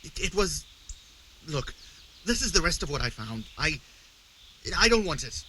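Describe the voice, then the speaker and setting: A nervous petty scavenger for a video game. His voice is rather high and sharp, defensive and prone to stammering, with faint outdoor ambience behind it. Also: very animated, a little slow, a little hiss or hum, full character voice. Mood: cowed, flustered. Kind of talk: video game dialogue acting.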